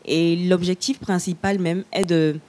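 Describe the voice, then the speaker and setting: Woman, young adult, slam poet guest, measured, warm dark tone. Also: lowish voice, fairly even intonation. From Togo. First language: Lugba. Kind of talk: TV studio interview.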